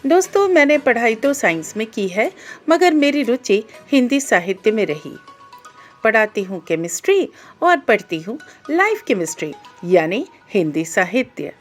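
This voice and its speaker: A middle-aged woman radio presenter from Bihar whose first language is Maithili. Her voice sits fairly high and moves in lively, animated swings as she introduces herself to listeners. Music plays with her voice. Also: moderate pace. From Bihar, India. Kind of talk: scripted self-introduction to listeners.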